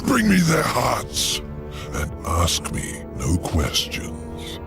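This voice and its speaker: An actor playing a huge imprisoned demon lord, proud and scheming, in a theatrical, gravelly voice with sudden shifts from loud to hushed. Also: male voice, medium pitch, wildly swooping pitch, drawn-out words, music in the background, full character voice. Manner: theatrical, sweeping swings between loud and hushed. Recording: game score under the voice.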